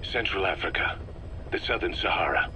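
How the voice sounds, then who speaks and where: A stoic, battle-worn commander speaks in few, guarded words. His voice is very low with a hard edge. It is a video game audio log with faint tape noise. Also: normal speed, exaggerated voice. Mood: guarded, grave. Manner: laconic, flat, guarded. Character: terse, stoic, battle-worn middle-aged male soldier commander. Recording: noisy cassette-tape style recording.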